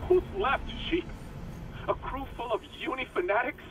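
Unhinged despairing space officer, raised swooping voice, noisy game log. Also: male voice, extremely high pitch, expressive, normal speed. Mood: despairing, agitated. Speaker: ship's officer. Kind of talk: dramatic audio log acting.

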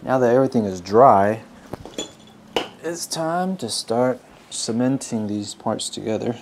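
A man narrates a hands-on DIY tutorial in a dark, relaxed voice. His delivery is plain-spoken and instructional, like a host guiding viewers through a build step by step. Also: medium pitch, measured pace, a little hiss or hum. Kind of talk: scripted how-to narration.

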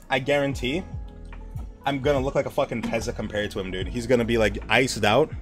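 A young man chatting casually on a live stream, rambling and swearing as he reads viewer messages. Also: mid-range voice. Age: young adult. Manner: rambling, swearing, laughing, with animated bursts. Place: dim room at a desk.

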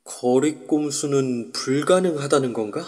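A man's voice: medium pitch, unhurried, a little mellow, natural voice. A man narrating as a wry, musing young man in first-person inner monologue. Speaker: narrator and lead.

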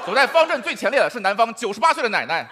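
A man's voice: very high-pitched, very animated, racing. A man performing manzai sketch comedy, delivering absurd lines straight-faced like a mock announcer. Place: large TV studio stage with live audience. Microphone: headset. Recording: very noisy stage with audience.

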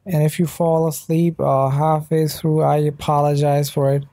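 A man's voice: fairly high pitch, flat delivery, moderate pace, mellow, no room sound. A sleepy man, not a native English speaker, casually commentating a mobile game pack opening while trying out a put-on British accent. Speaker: mobile game channel host.

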